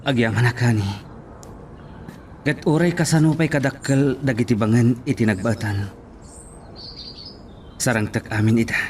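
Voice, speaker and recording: A man's voice: medium pitch, steady tone, normal speed, long pauses, darkish tone, a little hiss or hum, lightly acted. A man playing a poor, devoted young sweetheart in a romantic audio drama, speaking earnestly and sentimentally.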